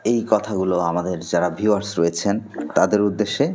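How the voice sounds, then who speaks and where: Low-voiced Bangladeshi male interviewer. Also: fairly even intonation, quick pace, a little mellow. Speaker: interviewer and channel host. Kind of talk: formal interviewing and addressing viewers.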